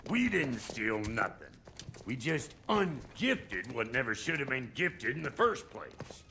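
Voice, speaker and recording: A man playing a cocky, foul-mouthed dwarf blacksmith in a video game, gruff and brash, with an American accent. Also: medium pitch, big pitch swings, slow pace, sharp tone, a little hiss or hum, full character voice. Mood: smug. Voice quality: gruff, gravelly. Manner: brash, slangy, drawling. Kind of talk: cinematic video game acting.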